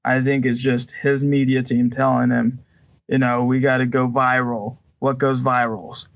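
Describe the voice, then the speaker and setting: A man talks casually as a podcast co-host, with noticeable vocal fry in a mid-range voice. His delivery halts and stammers as he works through his opinion. The sound is dry and close. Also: mid-range voice, level tone, moderate pace, no echo, dull audio.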